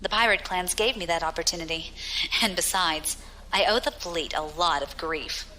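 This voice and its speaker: A woman's voice: lowish voice, normal speed, sharp tone, a little hiss or hum, rough recording. An actress playing a battle-hardened, proud young female pirate captain with a wry storytelling manner in a science fiction audio drama. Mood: bitter, resolute.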